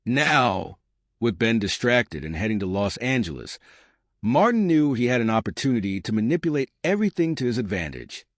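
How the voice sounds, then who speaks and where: A male audiobook narrator reads suspense fiction from a script. His voice sits at a middle pitch and moves with animated, wide-ranging intonation. The recording is very dry, with no room sound. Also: normal speed, no room sound.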